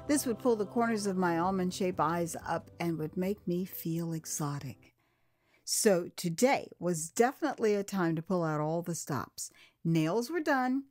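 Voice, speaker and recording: American lady telling her life story like an audio drama, theatrical and expressive, mid pitch, music underneath. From United States. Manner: theatrical, expressive, savouring words. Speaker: author narrating own audio drama.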